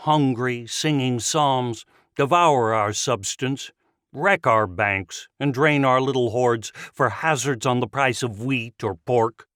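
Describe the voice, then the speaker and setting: A man reading verse like a speech, deliberate and emphatic. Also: medium pitch, fairly bright tone, clean tone, dry recording, clean studio sound. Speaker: poetry reader in a group recording.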